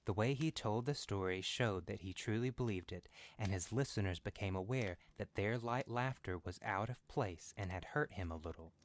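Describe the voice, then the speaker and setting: A man's voice: lowish voice, moderate pace, few pauses. A man reading a literary story as scripted narration for a podcast.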